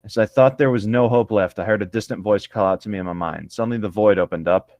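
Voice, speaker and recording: A man's voice: on the low side, fast talker, darkish tone, no echo. A man co-hosting, chatting casually and reading a story aloud in a playful, theatrical, mocking way. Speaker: co-host.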